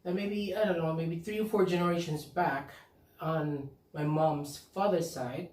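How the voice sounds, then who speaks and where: A man from the Philippines co-hosting a casual couple's vlog, talking in a halting, easygoing way and laughing often. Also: a little high, steady tone, a little mellow. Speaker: vlog co-host. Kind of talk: casual chat. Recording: echoey room, mic at a distance.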